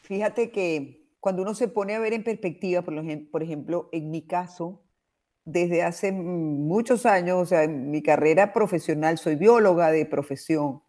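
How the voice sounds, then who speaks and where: A woman's voice: lowish voice, normal speed, dark tone. A Venezuelan woman in her sixties, a biologist, explaining things in a thoughtful, searching way as a radio interview guest. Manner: thoughtful, explanatory, with many restarts and "o sea" asides.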